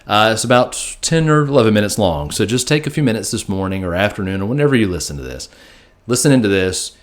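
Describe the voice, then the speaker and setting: A man with a fairly low voice talks like a pastor introducing a recorded message. His delivery is informal and a little halting, as in casual, unscripted speech. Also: lively intonation.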